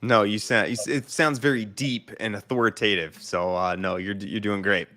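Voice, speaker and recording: A man's voice: on the low side, steady tone, normal speed, sharp tone. Upbeat male livestream host. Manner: upbeat, encouraging, reads audience questions. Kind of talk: livestream interview.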